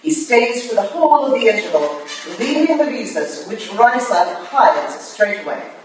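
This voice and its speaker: A woman's voice: a little high, very animated, moderate pace, a crowd in the background. A woman playing a commanding, analytical Victorian lady detective in a staged play reading, recorded in an echoey hall. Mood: reconstructing events. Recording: echoey hall from far off, faint noise.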